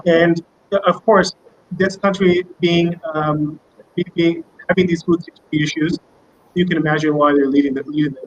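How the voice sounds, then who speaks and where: A man answering interview questions as a company guest, speaking conversationally and emphatically, often repeating words. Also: a little high. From United States. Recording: muffled online call with background noise.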